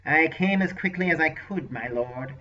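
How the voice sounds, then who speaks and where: A man voicing a scheming male sorcerer with a deeply nasal, unctuous, smug manner in an audiobook. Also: moderate pace, bright, forceful tone, distant mic. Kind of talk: audiobook character voice. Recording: lo-fi dull recording.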